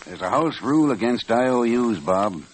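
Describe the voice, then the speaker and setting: A man's voice: medium pitch, animated, normal speed, close to an everyday voice, rough recording. An actor playing a wary saloon henchman in an old radio Western. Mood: matter-of-fact. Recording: hissy old radio recording.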